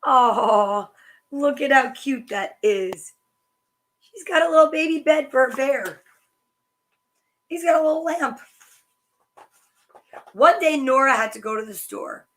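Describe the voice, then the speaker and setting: A woman hosting a children's story time, reading picture books aloud with chatty asides in a sing-song, animated way. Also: high-pitched, takes it slowly, long pauses.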